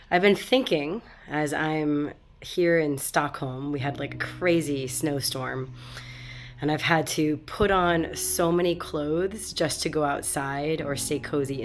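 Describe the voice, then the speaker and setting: A woman with a head cold speaking frankly and casually to her viewers, with many fillers and restarts. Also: sits low. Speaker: vlogger. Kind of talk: casual talk to camera.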